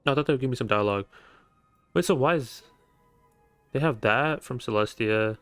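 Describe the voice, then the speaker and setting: A man's voice: medium pitch, moderate pace, fairly bright tone, dry recording, soft background music. A young man giving casual, offhand gameplay commentary as a video host, laid-back in manner.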